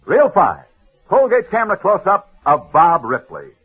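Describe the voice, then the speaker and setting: A man hosting a radio sports newsreel, telling stories with dramatic pauses and punchy emphasis. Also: sits high, sing-song, husky. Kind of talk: 1940s radio sports storytelling. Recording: tinny old radio broadcast.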